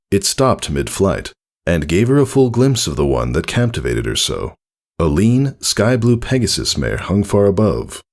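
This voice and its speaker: A man reading a scripted story aloud as narrator, in a measured, deliberate and expressive way. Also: deep voice. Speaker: narrator reading fan fiction.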